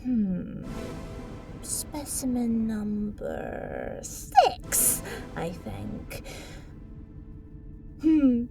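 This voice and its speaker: A woman's voice: on the high side, animated, extremely slow, gravelly, long pauses, music in the background, full character voice. A woman playing a gleeful, sinister villain who collects specimens, gloating and cackling in an audio drama.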